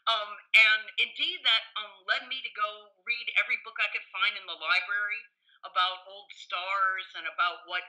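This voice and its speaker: A woman's voice: mid-range voice, fairly even intonation, normal speed, pushed and bright, rough recording. An American woman around sixty, a professor chatting enthusiastically and telling anecdotes as an interview guest. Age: 50s to 60s. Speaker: history professor and author, podcast guest.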